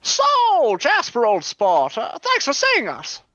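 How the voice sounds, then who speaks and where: A woman's voice: high-pitched, huge pitch swings, takes it slowly, heavily acted. A woman playing a glib, evasive male detective with gambling debts, theatrical and breezy, in a comedic radio play. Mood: ingratiating.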